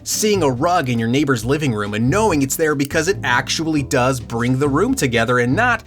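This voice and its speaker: A man presenting a scripted video essay about game usability, with dry humor and excited asides. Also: mid-range voice, brisk, soft background music. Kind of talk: scripted commentary with jokes.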